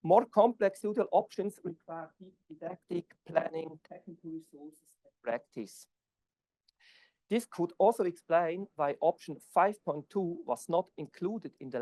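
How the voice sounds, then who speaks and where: A man's voice: on the high side, no room sound. Swiss-accented man giving an academic talk. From Switzerland. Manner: non-native English, halting with fillers. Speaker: conference presenter. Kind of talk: academic presentation and Q&A. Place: conference hall.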